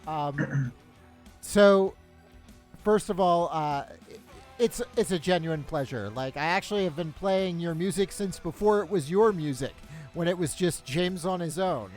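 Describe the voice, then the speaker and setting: A man's voice: on the high side, unhurried, darkish tone, soft background music. A man hosting a casual interview show, rambling with many fillers and restarts. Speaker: podcast host.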